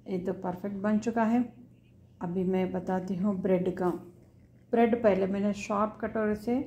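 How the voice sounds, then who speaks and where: A woman walks viewers through a snack recipe in her home kitchen, telling them what to do at each step. Her voice is warm and mellow and stays fairly level in pitch. A faint household hum sits under the recording. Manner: instructive, addresses viewers directly. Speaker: cooking channel host.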